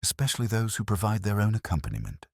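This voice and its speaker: A man voicing a dry, unhurried police inspector in a detective story, speaking in measured, gently ironic aphorisms. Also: lowish voice, very animated, gravelly, dry recording, voice pushed up, heavily acted.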